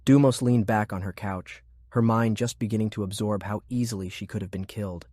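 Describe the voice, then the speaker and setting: A man's voice: lowish voice, steady tone, brisk, mellow, dry recording, studio quality. A man reading scripted narration of military fantasy fiction as an audiobook narrator.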